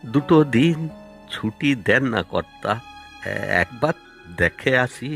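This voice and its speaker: A man's voice: medium pitch, lively intonation, takes it slowly, creaky, a bit bright, soft background music, heavily acted. A man playing a poor, worried labourer and father in a cartoon, plaintive and folksy. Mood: anxious, pleading. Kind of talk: cartoon voice acting.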